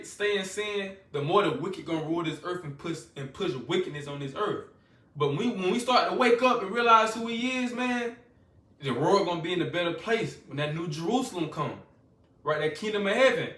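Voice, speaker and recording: High, bright-voiced fervent preacher man. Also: animated, normal speed. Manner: fervent, repetitive, loose colloquial preaching. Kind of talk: scripture-reading sermon. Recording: echoey room, voice from a distance.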